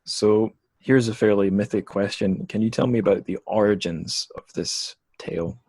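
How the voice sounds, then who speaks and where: A man's voice: on the low side, moderate pace, a little mellow, slightly rough, no room sound. A man hosting a casual podcast interview, asking questions in a tentative way and often rephrasing them as he goes.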